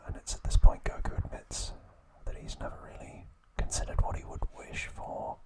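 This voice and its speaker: A man hosting an ASMR video, narrating trivia in a soft, deliberate, unhurried whisper. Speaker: ASMR host.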